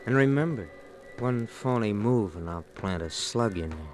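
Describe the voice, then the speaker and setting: An actor playing a menacing hoodlum in a 1950s radio drama, giving threats slowly and softly. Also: male voice, fairly low pitch, animated, over music, lightly acted. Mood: quiet menace. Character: menacing paunchy male hoodlum with guileless choirboy voice. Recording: noisy, clicky old radio recording.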